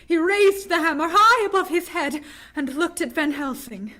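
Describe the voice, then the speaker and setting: A woman's voice: extremely high pitch, animated, moderate pace, heavy background noise. A woman playing a horrified young woman who recounts a gothic tale with intense, old-time radio drama delivery. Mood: tense, mounting horror. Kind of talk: 1940s radio acting, dramatic narration.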